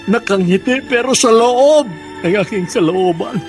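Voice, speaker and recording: A man playing a lonely, heartbroken older widower in a Filipino radio drama, speaking in a halting, sorrowful way. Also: extremely high pitch. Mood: tearful, mournful. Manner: slow, halting, sorrowful delivery with repeated phrases. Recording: music under the voice.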